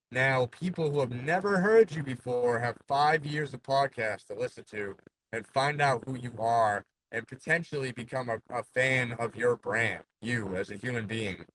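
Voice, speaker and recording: A man's voice: mid-range voice, a bit bright, a little hiss or hum, poor audio. A man with a Boston accent, a podcast host recorded on a cell phone in his parked car, speaking in an intense, emphatic and competitive way. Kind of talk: podcast monologue.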